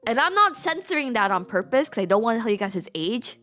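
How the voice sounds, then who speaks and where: Bright, high-voiced woman telling a story. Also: sing-song, soft background music. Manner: chatty, full of "like" fillers, acts out family quotes with slowed emphasis. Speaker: streamer. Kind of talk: casual storytelling on a livestream.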